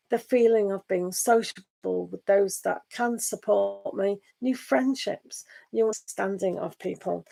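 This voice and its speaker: A woman with a hoarse, gravelly voice reads tarot live for an online audience. Her speech meanders gently from one card text to the next, and her pitch sits in a middle range for a woman. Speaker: tarot reader.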